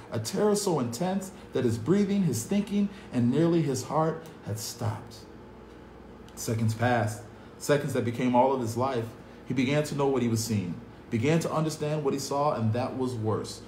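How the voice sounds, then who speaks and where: 30s guy reading a kids novel out loud, earnest, phone on a table, echoey room, faint hum. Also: medium pitch. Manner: earnest, dramatic, upbeat at the close. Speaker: youth club staff reading a novel aloud. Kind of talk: read-aloud storytelling for kids. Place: cinderblock room at a table, indoors.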